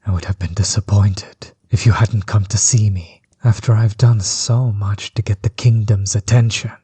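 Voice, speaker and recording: Man playing a smug, husky villain overlord. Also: fairly low pitch, fairly even intonation, mellow, dry recording. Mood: mocking, probing. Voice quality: husky, breathy, often dropping toward a whisper. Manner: mocking, condescending, drawn-out theatrical delivery. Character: smug, bitter male villain overlord, grudge against old companion. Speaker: voice actor. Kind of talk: scripted roleplay audio drama monologue.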